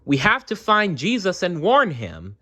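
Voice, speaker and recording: A man's voice: high-pitched, huge pitch swings, a little slow, bright, forceful tone, voice pushed up, exaggerated voice. A man voicing an eager, earnest young boy in a storybook read-aloud. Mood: urgent. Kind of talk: storybook character voice.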